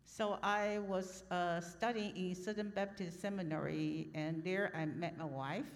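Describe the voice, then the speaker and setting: Female church interpreter, lowish voice, hesitant and filler-heavy, long hall reverb. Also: steady tone, unhurried.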